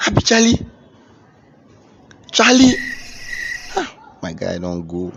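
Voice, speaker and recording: A man's voice: deep voice, takes it slowly, gravelly, slightly rough, full character voice. A man with a Nigerian accent acting an exasperated, complaining everyman in a radio drama. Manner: animated, complaining. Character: exasperated, gloomy everyman grumbling to his friend. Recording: dull, lo-fi sound.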